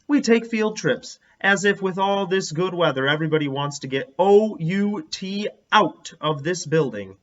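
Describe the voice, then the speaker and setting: Animated man reading aloud, dull lo-fi sound. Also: sits high, moderate pace, hardly any pauses. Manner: expressive, stresses and draws out words. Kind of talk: animated children's read-aloud narration.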